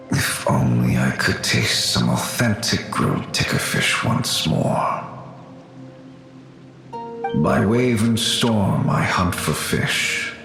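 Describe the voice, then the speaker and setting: Sorrowful game ghost, slow delivery, reflective, formal, heavy reverb, music underneath. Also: male voice, low voice, big pitch swings, gravelly, exaggerated voice. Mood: wistful. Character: gentle, melancholy male ghost of a fallen guardian spirit. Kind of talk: video game character acting. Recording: heavy echo, music behind.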